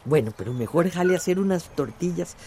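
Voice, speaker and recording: A curious village woman in a radio drama. She has a Central American accent and a swooping, animated, folksy delivery, full of little exclamations. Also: lowish voice, fast, crowd noise. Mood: curious, amazed. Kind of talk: radio soap acting.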